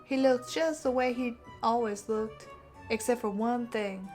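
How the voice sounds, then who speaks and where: A woman plays a polite, particular storybook widow. Her voice is fairly high and deliberate, with faint music behind it. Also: steady tone, darkish tone, natural voice.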